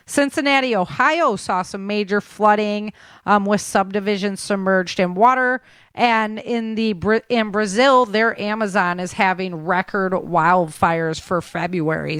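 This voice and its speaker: Clear-voiced woman hosting an informal talk show. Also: medium pitch, a bit bright, no echo. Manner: chatty, informal, addresses listeners as guys. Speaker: show host.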